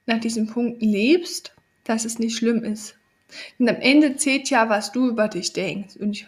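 Woman with a cold, earnest coaching talk. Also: a little high. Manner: earnest, coaching, addresses listener directly. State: has a cold. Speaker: podcast host. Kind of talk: motivational monologue.

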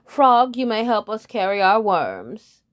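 A woman's voice: medium pitch, sing-song, highs cut off. A woman doing a pompous head bird. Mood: scornful. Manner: pompous, drawn-out. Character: snobbish head bird, haughty and grand.

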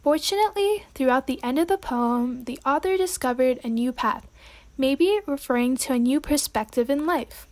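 A woman's voice: sits high, normal speed. A young woman hosting a student poetry podcast, reading her commentary in an earnest, read-aloud way. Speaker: podcast host.

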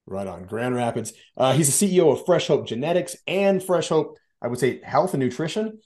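A male podcast host speaks in an easy, casual tone, introducing his guest and tossing out questions with plenty of "uh" and "um". His pitch is in the ordinary middle range, and he sounds relaxed and conversational. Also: brisk. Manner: laid-back, filler-heavy questions. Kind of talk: casual interview hosting.

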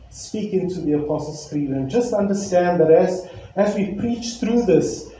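A male pastor introducing a sermon series and praying, earnest and reflective, pausing with fillers. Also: a little high, warm tone, a little hiss or hum. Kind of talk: sermon and prayer. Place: church hall. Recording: very echoey church hall, faint ambience.

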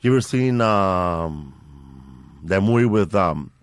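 A man's voice: low-pitched, expressive, takes it slowly, clear, resonant tone, no echo, studio quality. A man, a comedian guest on a podcast, telling laid-back anecdotes and slipping into voices of people he met. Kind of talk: casual storytelling chat.

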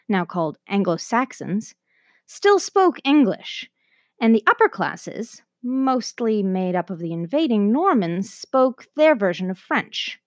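A woman's voice: fairly high pitch, no room sound, studio quality. A woman presenting a scripted educational narration in a conversational way, as the host of a history lesson.

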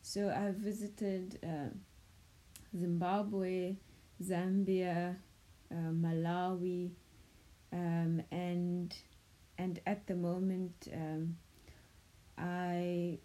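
A woman's voice: fairly low pitch, level tone, very slow, dark tone, low-quality recording. A woman from South Africa whose first language is Sepedi, co-hosting a casual podcast chat and talking hesitantly, with many fillers and restarts. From South Africa (Limpopo). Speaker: podcast co-host.

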